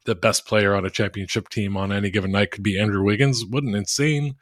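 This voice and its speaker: A man with a flat, oafish monotone chats as a podcast co-host, rambling and poking fun at himself. His voice is captured close and dry over a call mic, with no room sound around it. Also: fairly low pitch, fast, no echo. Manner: rambling, self-mocking, crude jokes. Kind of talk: casual podcast chat.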